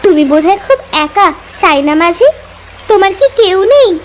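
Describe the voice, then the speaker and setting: Woman playing a very high-pitched village girl. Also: expressive, normal speed, slight background noise. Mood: timid, curious. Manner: girlish, inquisitive. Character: timid, friendly young village girl, curious and sweet. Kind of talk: animated story character acting.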